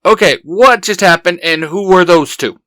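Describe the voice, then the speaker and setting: A man's voice: sits high, normal speed, pushed and bright, no room sound, clean studio sound, voice pushed up. A man voicing a grumpy young male hero who talks in an impatient, sarcastic way. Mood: annoyed.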